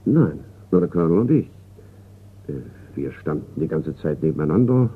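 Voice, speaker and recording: An actor playing a reserved, courteous businessman and collector in a radio crime play, speaking in a measured way. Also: a bass voice, big pitch swings. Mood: guarded. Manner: measured, polite. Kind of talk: German radio drama acting. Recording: tinny, noisy radio recording.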